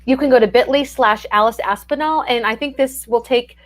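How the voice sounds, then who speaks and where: A woman's voice: a little high, a little fast, a little hiss or hum. A woman hosting a casual book chat over a video call, effusive and talking with many fillers.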